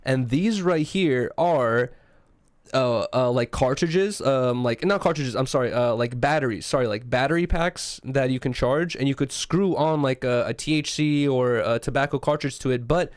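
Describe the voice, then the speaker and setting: A man's voice: medium pitch, moderate pace, no echo, studio quality. A young man from Russia, co-hosting a casual podcast, rambling with lots of fillers and swearing. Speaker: podcast co-host.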